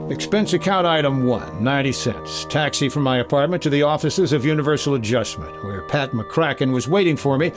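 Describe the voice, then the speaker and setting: A man's voice: medium pitch, brisk, few pauses, music in the background, close to an everyday voice. A man playing a wry, businesslike insurance investigator in the clipped style of 1950s radio detective drama. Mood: crisp narration.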